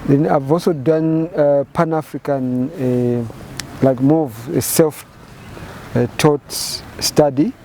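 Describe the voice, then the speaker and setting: A man in his fifties with a Zambian accent, answering interview questions in measured, halting phrases with many repeated words. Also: on the high side, warm tone, slight background noise. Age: 50s. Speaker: painter and photographer interviewee.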